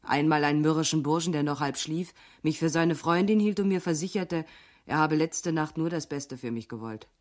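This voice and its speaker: A man's voice: on the high side, moderate pace, natural voice. An actor playing a terse, dogged male investigator in a radio crime drama, speaking in a dry manner. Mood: dry, weary. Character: terse, dogged investigator working through phone numbers. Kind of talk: German radio drama acting.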